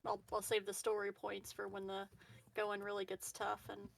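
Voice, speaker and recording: A woman's voice: mid-range voice, monotone, moderate pace, vocal fry, recorded from a distance, a little hiss or hum. A woman playing a tabletop roleplaying game, talking casually at the table.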